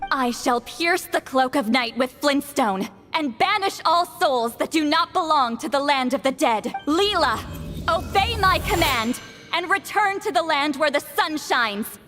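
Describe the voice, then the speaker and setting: An actress voicing a haughty, sharp-tongued granny shaman priestess in an anime fantasy game, commanding, teasing and theatrical. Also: high-pitched, lively intonation, unhurried. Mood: solemn, commanding. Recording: long reverb, music underneath. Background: game music.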